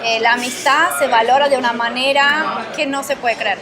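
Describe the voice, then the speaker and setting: A Brazilian-accented woman talks casually as an interview guest. Her voice is high and her storytelling animated. A crowd chatters behind her in a busy indoor public space. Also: normal speed. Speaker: interview guest, Portuguese teacher. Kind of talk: casual chat with anecdotes.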